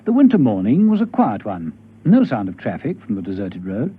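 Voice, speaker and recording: An actor narrating a 1970s radio spy serial in a grave, dramatic style. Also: male voice, fairly low pitch, huge pitch swings, brisk, husky, exaggerated voice. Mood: suspenseful. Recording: dull vintage radio recording.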